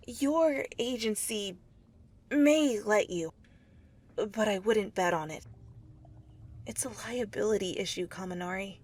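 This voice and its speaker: A woman voicing a calm, frank clinician in a story reading, measured and matter-of-fact with a patient. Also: mid-range voice, husky.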